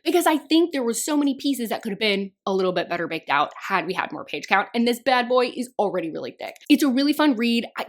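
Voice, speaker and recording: Young female book vlogger, chatty and animated, slightly hoarse and nasal, pitched a bit high. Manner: chatty, animated, frequent "like" fillers. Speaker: book reviewer. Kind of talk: casual book wrap-up vlog.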